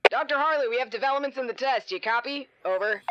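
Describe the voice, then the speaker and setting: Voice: high voice, quick pace, noisy background. An actor playing a brusque, irreverent research scientist who talks bluntly over a two-way radio in an audio drama. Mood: matter-of-fact. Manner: blunt, clipped radio talk. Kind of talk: naturalistic audio drama acting. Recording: dull, band-limited walkie-talkie sound.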